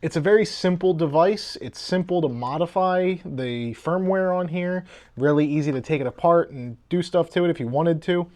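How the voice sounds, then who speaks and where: A man's voice: a little high, moderate pace, a little mellow. A man giving a casual hands-on review as host of a video.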